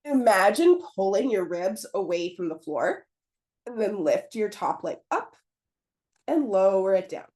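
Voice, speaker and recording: Hoarse woman cueing a pilates class. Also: medium pitch, unhurried. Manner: calm, encouraging step-by-step cueing. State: hoarse, getting over a cold. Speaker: pilates instructor. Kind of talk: guided pilates class cues. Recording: roomy echo.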